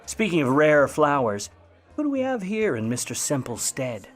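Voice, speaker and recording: An actor playing a wry, grudging, hard-boiled Hollywood private eye of the 1950s in old radio noir style, full of slangy wisecracks. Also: male voice, a little high, sing-song, normal speed, faint music behind. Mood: sardonic, needling. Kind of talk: old-time radio noir acting with narration.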